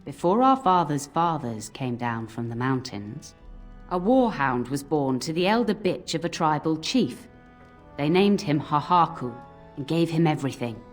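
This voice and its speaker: An actress playing an old nanny in a game, scolding but fond, given to telling cautionary tales. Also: female voice, sits low, big pitch swings, measured pace. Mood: solemn storytelling. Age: older. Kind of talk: scripted game voice acting, storytelling. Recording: music under the voice.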